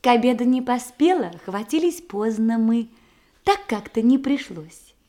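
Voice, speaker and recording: An actress playing a sly, lively young bride who feigns modesty in a Russian radio comedy, with an expressive theatrical delivery. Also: female voice, on the high side, a little slow, lightly acted. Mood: demure, feigned thrift.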